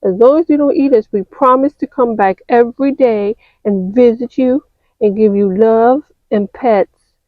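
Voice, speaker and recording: A woman voicing a gentle, earnest male cartoon mouse who coaxes softly in a toy-play story. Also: on the high side, a little slow, dry recording, narrow, tinny audio, lightly acted. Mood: coaxing. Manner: soft, coaxing and earnest.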